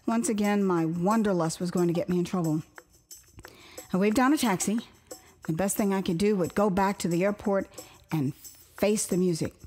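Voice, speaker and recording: An older American woman reads her memoir like an audio drama, with theatrical expression and wry, amused asides. Her voice sits at an average pitch for a woman. Music plays faintly behind the narration. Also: a little mellow. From Houston, Texas, United States. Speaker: author reading her own memoir. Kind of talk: dramatized first-person storytelling narration.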